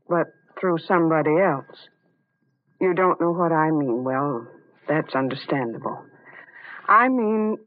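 An actress playing a composed, clear-headed doctor's wife in an old-time radio drama. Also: female voice, fairly low pitch. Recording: tinny, narrow vintage radio recording.

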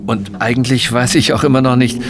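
An actor playing a polite, eager but baffled police inspector in a German audio drama, formal and deferential. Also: male voice, medium pitch, fairly even intonation, brisk, noisy background, lightly acted. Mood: baffled, curious.

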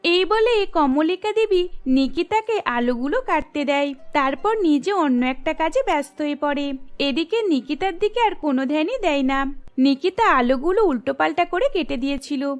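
A woman narrating a cartoon story in an even storytelling manner. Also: high-pitched, normal speed.

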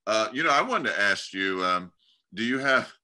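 Bright-toned middle-aged man hosting a video call. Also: lowish voice, normal speed. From California. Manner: relaxed, rambling, many restarts and fillers. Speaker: host of church community video-call talk. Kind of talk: casual interview chat. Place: home study lined with bookshelves. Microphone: video call webcam.

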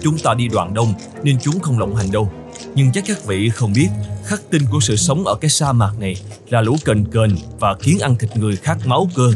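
A man voicing a seasoned, confident desert caravan guide in an animated fairy tale, speaking with assured command. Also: mid-range voice, moderate pace, over music, natural voice. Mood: knowing.

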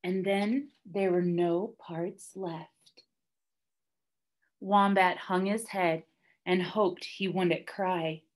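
A young woman reading a children's story aloud like a teacher, deliberately and with dramatic emphasis. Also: on the low side, steady tone, a little hiss or hum. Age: young adult. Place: small classroom, indoors, by a whiteboard.

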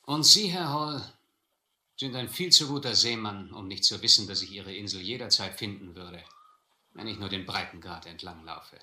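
A man acting a shrewd, adventurous seafarer in a radio drama, deliberate and controlled with a touch of irony. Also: on the low side, bright, forceful tone. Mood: polite, probing. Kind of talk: radio drama acting, first-person narration and dialogue. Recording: roomy, slightly noisy old radio-play recording.

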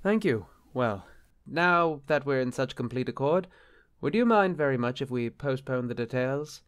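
Guy playing a polite flustered bishop in a radio play, formal, kinda fussy, mid-pitched voice. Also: animated, moderate pace, smooth, close to an everyday voice. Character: flustered, earnest bishop, polite and harried husband. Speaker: actor in a drama. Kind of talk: audio drama acting.

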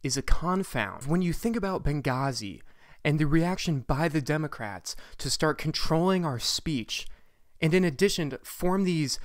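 A man's voice: on the high side, expressive, normal speed, no room sound, clean studio sound, close to an everyday voice. Man acting a rambling American conspiracy theorist. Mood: earnest rambling. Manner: rambling, disjointed pseudo-intellectual monologue. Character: rambling, unhinged young male conspiracy theorist. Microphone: close studio microphone on desk.

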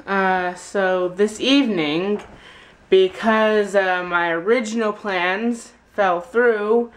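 A man's voice: high voice, a bit bright. A teenage boy with a stuffy, nasal voice from a cold, chatting in a rambling, self-deprecating daily vlog to a camera held at arm's length. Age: teens. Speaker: vlogger. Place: rooms of a house and outdoors. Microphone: handheld camera at arm's length.

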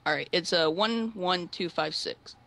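A man's voice: high-pitched, measured pace, vocal fry, bright, forceful tone. A young man on a call, answering politely and deferentially as a customer. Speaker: prank caller. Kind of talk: polite phone conversation. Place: online voice call.

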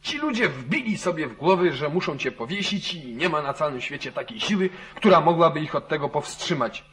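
An actor playing a suspicious, wavering landowner who questions sternly, in the style of old radio drama. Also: male voice, a little high, sing-song, fairly quick, a bit bright. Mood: torn, hesitant. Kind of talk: 1940s Polish radio drama acting.

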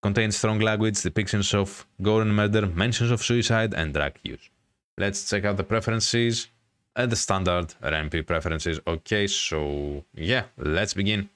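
A man hosting a gaming video, reading a visual novel aloud in a dramatic, drawn-out storytelling way with casual commentary. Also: on the low side, fairly bright tone, clean tone, no room sound.

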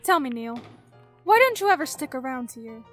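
A woman's voice: high-pitched, big pitch swings, moderate pace, fairly bright tone, faint music behind. An actress playing a coy, needling young barroom girl with an American accent in an audio drama.

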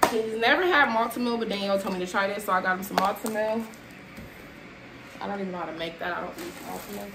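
A woman's voice: medium pitch, fast. A young woman chatting casually to her viewers while unpacking groceries in a small apartment kitchen, filmed by a camera across the room and sounding a bit tired. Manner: chatty, addresses viewers as y'all, moving around while unpacking bags. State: tired and sweaty. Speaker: vlogger showing a grocery haul. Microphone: camera at a distance across the room. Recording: echoey kitchen with background noise.

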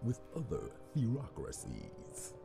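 A man's voice: fairly low pitch, wildly swooping pitch, slow pace, vocal fry, heavily acted. A man playing a formal, analytical agent in a sci-fi audio drama, with stilted, precise speech. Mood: grave. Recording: music behind the dialogue.

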